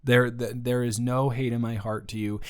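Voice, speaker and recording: A man's voice: medium pitch, little pitch movement, normal speed, clean tone, natural voice. A man playing an earnest, flustered ex-boyfriend in an intimate improvised audio roleplay, stammering and trailing off as he talks. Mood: nervous, reassuring.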